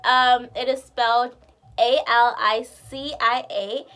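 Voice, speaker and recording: A woman's voice: on the high side, steady tone, slow pace, fairly bright tone. A young woman of about twenty, chatting casually and expressively to camera as a vlogger at home. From Guyana and the United States, raised partly in Maryland. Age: late teens to about twenty. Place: indoor room at home with curtains.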